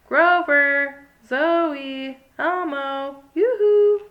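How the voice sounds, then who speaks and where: A woman's voice: high-pitched, extremely slow, muffled recording, voice pushed up. A woman voicing a big, friendly, curious childlike bird while reading a children's storybook aloud. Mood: playful calling.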